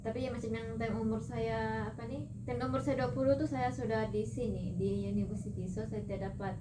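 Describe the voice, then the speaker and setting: A woman's voice: fairly high pitch, brisk. A young woman, talking hesitantly as a counseling client, full of fillers and small restarts. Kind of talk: casual spoken recollection. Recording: roomy echo with steady background noise.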